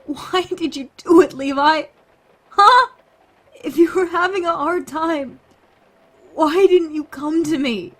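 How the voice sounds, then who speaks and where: An actress voices a foul-mouthed woman survivor of an apocalypse. Her voice is high, and her delivery is combative, scornful and demanding, in audio drama style. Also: takes it slowly, fairly bright tone. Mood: angry, demanding. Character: angry, foul-mouthed, confrontational woman survivor named Lonnie.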